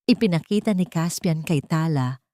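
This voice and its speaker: A woman narrates a dramatic audiobook tale in a lowish voice. The delivery is scripted storytelling, and the recording is close and dry, with hardly any room sound. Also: expressive, normal speed, dark tone, dry recording.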